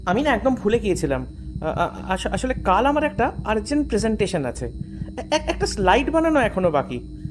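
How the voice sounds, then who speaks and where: Man acting a flustered, evasive young man, pitch jumping. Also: very animated, moderate pace, over music. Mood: panicked, evasive. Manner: stammering, flustered. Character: nervous, evasive young male engineer hiding something. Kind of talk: audio drama acting.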